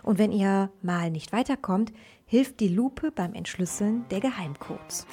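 A woman's voice: medium pitch, measured pace, mellow, faint music behind. A woman presenting a children's radio show in a scripted style.

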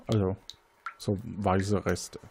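A man's voice: sits low, level tone, takes it slowly, creaky, dark tone, a little hiss or hum. A man hosting an interactive crime game, narrating scenes as game master in a partly improvised, playful way.

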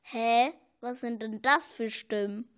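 Woman voicing a high-pitched, chatty little earworm. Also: steady tone, takes it slowly. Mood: puzzled. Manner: exclaiming, grumbling, thinking out loud. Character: lost little male earworm, easily annoyed, chatty. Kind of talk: children's audio story acting.

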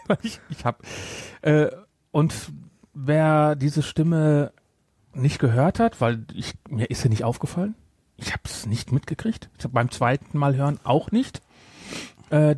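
A man's voice: a little high, expressive, measured pace, a little mellow, husky, no room sound, studio quality. A man hosting a podcast in a casual, rambling chat, often interrupting himself. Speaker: podcast host.

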